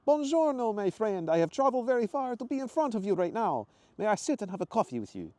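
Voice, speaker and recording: A man voicing an excitable, sincere Italian traveler with an Italian accent, earnest and effusive, in a storybook reading. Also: high-pitched, fast talker, no echo, voice pushed up. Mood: excited.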